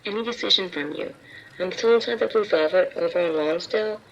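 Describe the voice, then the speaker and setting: Actress as an undercover vice cop, gravelly, clipped report, muffled lo-fi radio. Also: female voice, lowish voice, brisk. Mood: tense. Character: tense undercover female vice officer.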